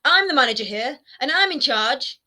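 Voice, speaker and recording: A woman's voice: sits high, lively intonation, normal speed, pushed and bright, pitched above the performer's own voice, full character voice. A woman voicing a sneering, hot-tempered young man. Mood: furious. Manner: snapping, sneering and hissing. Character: spiteful young man, resentful and quick-tempered. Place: home room lined with bookshelves. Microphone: webcam framing, seated close to camera.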